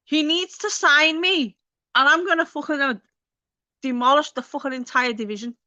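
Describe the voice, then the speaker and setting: A woman's voice: high voice, normal speed, bright, forceful tone, dry recording, dull audio. A woman with a Liverpool accent chatting casually to her viewers as a channel host, informal and sweary, addressing them by name. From Liverpool, England. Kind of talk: casual livestream chat with viewers.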